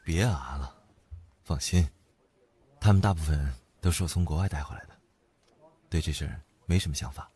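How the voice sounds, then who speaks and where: An actor playing an indulgent, unflappable company boss and older boyfriend, speaking calmly and dotingly in an audio drama. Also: male voice, extremely low pitch, normal speed, pauses often, slightly rough, exaggerated voice. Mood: reassuring. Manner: calm, gently doting. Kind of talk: audio drama acting, intimate low-key talk. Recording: background music under the voice.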